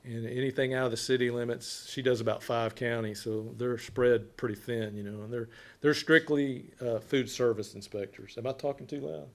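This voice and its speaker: An older man with a loud voice, a health inspector giving an informal, folksy presentation to a committee. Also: mid-range voice, steady tone, normal speed. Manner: folksy, plain-spoken. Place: wood-panelled council chamber.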